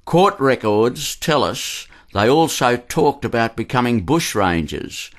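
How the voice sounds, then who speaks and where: A man's voice: medium pitch, very animated, slow pace. A man narrating a scripted historical documentary radio play.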